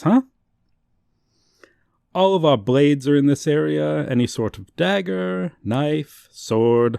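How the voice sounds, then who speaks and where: A man acts out a cheerful, chatty male shop clerk in an ASMR roleplay. His pitch moves around freely while the pace stays slow and unhurried. The sound is dry and close, with polite sales patter and playful asides. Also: creaky, darkish tone, dry recording, heavily acted. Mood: patient, helpful.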